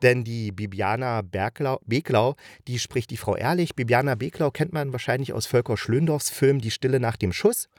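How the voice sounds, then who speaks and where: Male review podcast host, mid-pitched, enthusiastic and colloquial, loose rambling delivery, dry recording. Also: moderate pace, few pauses, no echo. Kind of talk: casual chatty review monologue.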